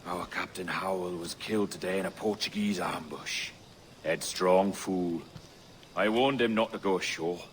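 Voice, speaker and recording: An actor playing a wary pirate captain, terse and guarded. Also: male voice, sits low, moderate pace, slight background noise, close to an everyday voice. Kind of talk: video game cutscene acting.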